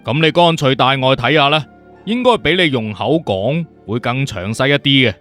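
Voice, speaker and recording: 語氣起伏、自信直接嘅男人扮演神探. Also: male voice, medium pitch, lively intonation, normal speed, a bit bright, soft background music, close to an everyday voice. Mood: probing. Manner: assertive, questioning, direct. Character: self-assured, blunt detective consultant, probing and impatient. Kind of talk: Cantonese audiobook character acting.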